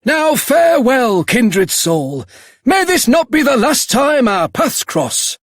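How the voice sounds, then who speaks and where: A flamboyant, self-satisfied eccentric from a video game. The voice sits very high and swoops theatrically through florid phrases, recorded dry and close. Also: male voice, sing-song, slow, no echo, full character voice. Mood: smug, friendly. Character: vain, flamboyant celebrity monster enthusiast, florid and friendly.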